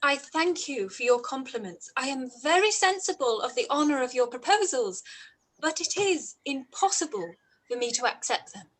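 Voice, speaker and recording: Spirited young gentlewoman played by a woman, high-pitched, emphatic and composed, formal period radio drama. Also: sing-song, moderate pace, heavily acted, rough recording. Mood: polite, firm. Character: spirited, firm, polite young gentlewoman refusing a suitor. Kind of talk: period drama live-read radio play.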